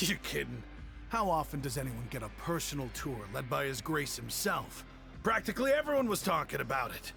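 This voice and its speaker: A man voicing a burly, self-important prison gossip in a video game, gravelly, boastful and conspiratorial. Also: on the high side, sing-song, brisk, over music. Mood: smug.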